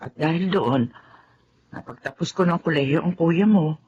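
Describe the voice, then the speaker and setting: A woman's voice: low voice, moderate pace, a little mellow, slight background noise, close to an everyday voice. Woman acting a devoted middle-aged housemaid mother, muffled sound. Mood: concerned, earnest. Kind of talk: Filipino radio drama acting.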